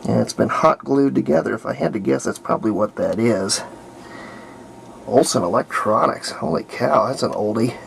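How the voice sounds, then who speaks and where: A man's voice: mid-range voice, moderate pace, creaky, a little hiss or hum. A man with a folksy way of talking narrates hands-on repair work casually, thinking aloud. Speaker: radio restoration hobbyist.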